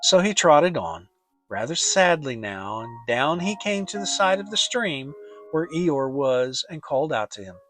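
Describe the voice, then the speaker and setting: A narrator giving an expressive dramatic reading of a children's story. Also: medium pitch, unhurried, soft background music. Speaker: audiobook narrator.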